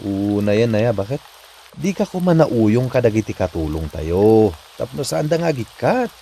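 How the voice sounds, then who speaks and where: A man's voice: lowish voice, big pitch swings, moderate pace, mellow, slight background noise. A man with a Filipino accent playing a teasing but reasonable husband who chides and needles in a comedy drama. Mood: chiding. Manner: chiding, needling.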